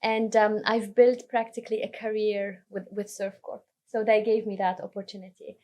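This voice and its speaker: A woman from Lebanon, a podcast guest telling her career story in a relaxed way, often restarting her sentences. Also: medium pitch, normal speed. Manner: relaxed, self-correcting storyteller. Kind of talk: casual interview conversation.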